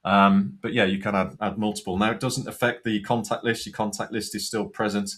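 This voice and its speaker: A man with a low, deep voice talks through a product demonstration. His delivery is informal and unscripted, explaining each step as he goes in the manner of a practical tutorial. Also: flat delivery, fairly quick, fairly bright tone.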